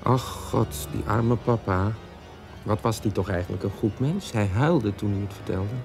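Mellow-voiced, bitter grown son. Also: male voice, fairly low pitch, measured pace, vocal fry, faint music behind. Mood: bitter mock pity. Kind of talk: dramatic monologue in a Dutch radio play.

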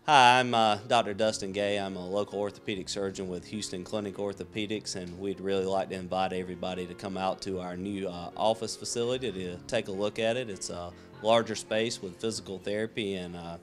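Low-pitched man in a local ad. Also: steady tone, fairly quick, hardly any pauses. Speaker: surgeon promoting his practice. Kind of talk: informal on-camera advertisement. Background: music.

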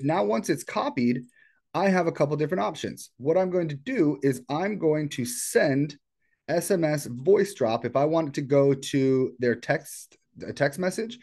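A man presenting an informal step-by-step software tutorial in a casual, explanatory way. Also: on the high side, lively intonation, moderate pace. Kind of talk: casual screen-share tutorial. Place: indoors at a computer.

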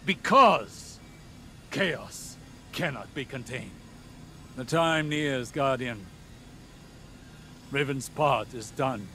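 An actor playing a grave, solemn male leader speaking as a hologram in a video game, with slow, measured delivery. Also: medium pitch, animated, sharp tone, a little hiss or hum, exaggerated voice.

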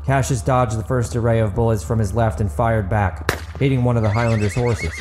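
A man's voice: mid-range voice, steady tone, brisk, music in the background. A man reading dramatic fiction as an audiobook narrator, in a scripted storytelling style.

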